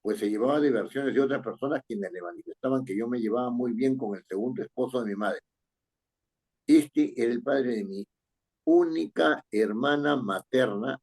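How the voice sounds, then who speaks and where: A man with a husky, slightly breathy voice at a middle pitch leads a writing lesson. He reads sentences aloud slowly, then explains the fixes, often ending on a questioning "right?". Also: darkish tone. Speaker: writing teacher. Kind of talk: correcting a student's paragraph in class.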